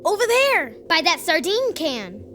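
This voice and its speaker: Very high, excitable kid sidekick. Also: unhurried, soft background music, exaggerated voice. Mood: alert. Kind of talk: children's audio play acting.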